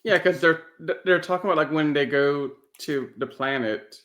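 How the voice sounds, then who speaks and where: A man's voice: a little high, normal speed, a bit bright. A man speaking as a podcast host in casual, conversational chat.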